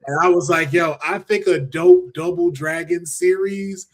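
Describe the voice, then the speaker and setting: A man chatting casually as a podcast host, with an animated, slangy, sometimes profane way of talking. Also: high voice, unhurried, vocal fry. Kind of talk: casual chat.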